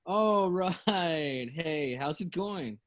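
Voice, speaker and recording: A man's voice: fairly high pitch, expressive, very slow. A man reading a nervous teenage boy. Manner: casual, nervous, hesitant. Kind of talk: script table read.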